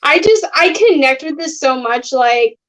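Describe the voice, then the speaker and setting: A young woman with a very high voice talks casually as a podcast co-host. She is earnest and hesitant, often stopping and restarting her sentences. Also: animated, measured pace, rough recording. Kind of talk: casual chat.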